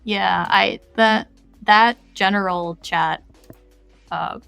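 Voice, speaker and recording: A woman's voice: mid-range voice, fairly even intonation, slow, creaky, a bit bright, clean tone, no room sound, soft background music. A woman speaking reflectively and conversationally as a guest game developer on a podcast. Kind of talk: podcast interview, casual reflection.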